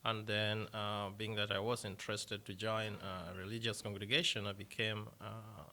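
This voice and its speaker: A man with a Kenyan accent answers interview questions, his voice a bit low but bright and forceful. He talks haltingly, with many fillers and restarts. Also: level tone. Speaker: deacon, interview guest.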